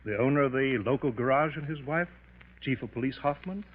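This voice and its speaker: An actor playing a patronizing professor with a morbid fascination for death in a 1950s radio melodrama. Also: male voice, mid-range voice, close to an everyday voice. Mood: condescending, coaxing. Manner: pompous, patronizing, deliberate. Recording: dull, hissy old radio recording.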